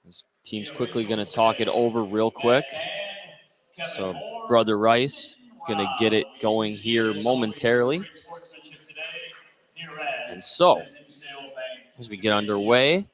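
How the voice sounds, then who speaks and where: A man calling a high school basketball game live as play-by-play announcer, speaking from courtside in a gym. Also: takes it slowly, pauses often, poor audio. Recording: gym crowd behind the voice.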